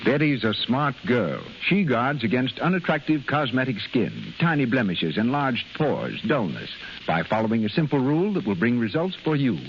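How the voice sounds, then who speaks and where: A man's voice: medium pitch, big pitch swings, moderate pace. A male announcer reading a scripted 1930s radio advertisement. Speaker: commercial announcer. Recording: muffled, hissy 1930s radio broadcast recording.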